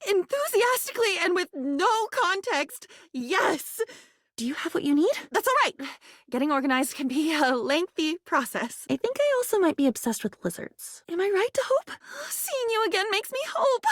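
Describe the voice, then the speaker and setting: A woman's voice: very high-pitched, sing-song, normal speed, fairly bright tone, no room sound, studio quality, heavily acted. A woman voicing a young, earnest, dreamy living object in a video game, whimsical and theatrical, mixing flowery formal words with chatty asides. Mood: excited, warm. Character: female living diary, young, earnest, dreamy, newly self-aware. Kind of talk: video game character voice acting.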